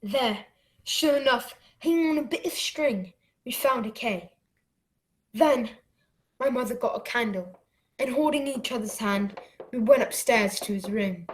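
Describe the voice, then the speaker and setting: A woman playing an earnest, excitable young boy who acts and narrates his adventure in a radio play. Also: on the high side, slight background noise, close to an everyday voice, low-quality recording. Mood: suspenseful. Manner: dramatic, deliberate storytelling.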